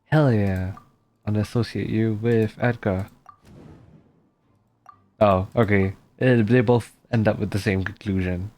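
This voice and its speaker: A woman's voice: extremely low pitch, steady tone, measured pace, long pauses, dark tone. A woman streamer reacting casually to a story game in short, murmured interjections. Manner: brief interjections, murmured agreement.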